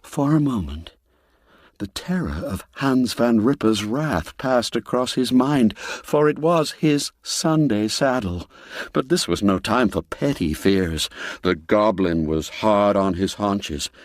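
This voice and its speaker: A man narrating a classic radio story in a measured, dramatic way, dropping low and quiet for the grim moments. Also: animated, no room sound, studio quality. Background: faint music score.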